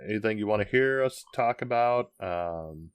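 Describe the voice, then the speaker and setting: A man's voice: on the low side, expressive, normal speed, clean tone. A man co-hosting a casual podcast, rambling along with lots of ums and restarts. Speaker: podcast co-host. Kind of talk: casual chat.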